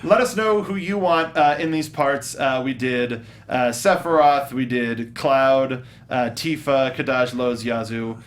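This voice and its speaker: A man's voice: mid-range voice, unhurried, hardly any pauses. A man hosting a casual panel chat about film casting, speaking in an informal, enthusiastic way. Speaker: host.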